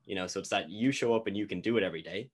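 Deep-voiced man hosting an interview. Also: very fast. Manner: relaxed, repeats words and fillers. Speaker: host. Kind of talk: casual interview chat.

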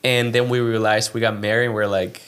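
An earnest young man from Chile talking about his life as a pastor in a relaxed interview. His voice sits in an ordinary middle pitch, and he circles back and restarts his sentences as he thinks aloud. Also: fast talker, clean tone. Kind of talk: casual interview chat.

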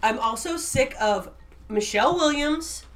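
A woman's voice: sits high, measured pace. A woman co-hosting a podcast in casual chat, ranting with lots of swearing and laughter. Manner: profane, laughing, animated rants. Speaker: podcast co-host.

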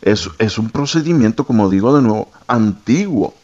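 A man's voice: on the low side, expressive, normal speed, a little mellow, dull audio. A man speaking as a guest doctor, explaining medical questions to listeners on a talk show.

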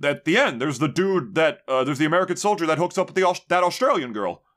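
Blunt, foul-mouthed young podcast host. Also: male voice, a little high, animated, a bit bright. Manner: blunt, heavy swearing, quick asides and put-on voices. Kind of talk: casual chat.

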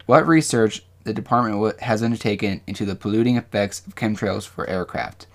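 A man co-hosting a podcast, talking casually and reading from notes, with halting, rambling explanations. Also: fairly low pitch. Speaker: podcast co-host. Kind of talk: casual discussion with some reading aloud from notes.

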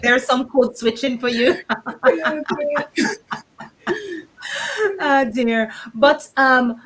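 A woman hosting an online panel discussion, reading from the chat and passing the floor to guests in a measured, inviting manner. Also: high voice, crowd noise, rough recording. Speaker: host.

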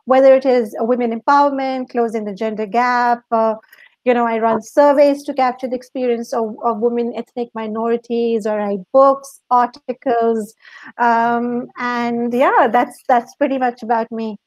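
Higher-pitched woman from Pakistan, dry sound. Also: steady tone, normal speed, a little mellow, dry recording. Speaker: interview guest, leadership coach and author. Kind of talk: explanatory interview answers.

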